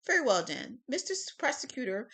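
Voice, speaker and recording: Lady reading a judge, formal and brisk, bouncy pitch. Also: very animated, a bit bright, exaggerated voice. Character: stern male judge running a courtroom.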